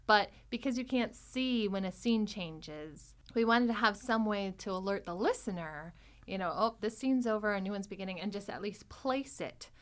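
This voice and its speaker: A woman hosts a casual podcast discussion in a mid-pitched voice. She talks expressively and with plenty of enthusiasm, in an informal, chatty way. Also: normal speed, fairly bright tone. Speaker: podcast host.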